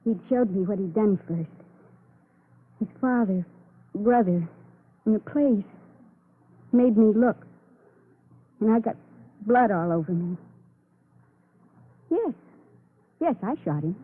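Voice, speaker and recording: Husky, brittle, tipsy woman. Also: medium pitch, lively intonation, slow pace, long pauses. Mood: dazed, bitter. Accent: American. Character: tipsy, brittle, defiant woman confessing to a killing. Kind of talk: 1950s radio crime drama acting. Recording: narrow, tinny old radio recording.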